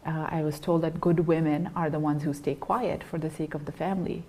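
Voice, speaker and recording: A young woman speaks in a low register, earnest and unhurried. She talks as a guest, stopping and restarting often with small fillers. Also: fairly even intonation, darkish tone. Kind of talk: personal testimony in an interview.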